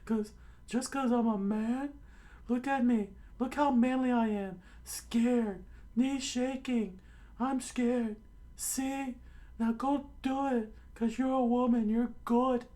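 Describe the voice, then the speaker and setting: A woman's voice: fairly high pitch, steady tone, slow, mellow, pitched above the performer's own voice. Woman as a squealing, cowardly young man. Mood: panicked whining. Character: cowardly, whiny, boastful young man in pirate adventure.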